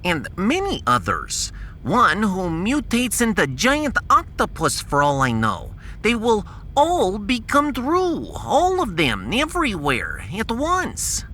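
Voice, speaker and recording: A man's voice: high-pitched, wildly swooping pitch, slow, sharp tone, a little hiss or hum, voice pushed up, heavily acted. A man playing a proud, pedantic scientist in a science fiction audio drama, long-winded and dropping his articles. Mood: grave, emphatic.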